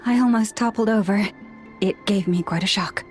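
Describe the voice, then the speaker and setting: A woman voicing a gentle, dignified priestess with antlers in a video game, speaking in a polite, formal and measured way. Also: mid-range voice, soft background music, natural voice. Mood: gently flustered.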